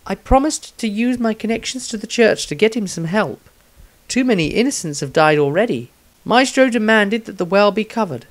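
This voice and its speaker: A man voicing a compassionate, earnest woman adventurer, reading her fantasy diary in a soft, subdued way. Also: high voice, expressive, moderate pace, pitched above the performer's own voice. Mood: gentle, regretful.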